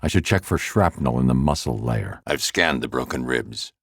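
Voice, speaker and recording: A grim, brooding vigilante detective for a game, with a voice that sits extremely low and rumbles. He talks in a clipped, methodical way, recorded close and dry in a studio. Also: animated, moderate pace, breathy edge, no room sound, exaggerated voice. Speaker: video game character. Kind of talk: game voice acting. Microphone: close studio mic.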